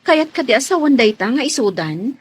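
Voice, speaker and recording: An actress playing a shy, deferential young housemaid in a radio drama, coy, hesitant and polite. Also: female voice, on the high side, big pitch swings, brisk, breathy edge. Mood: teasing. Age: young adult.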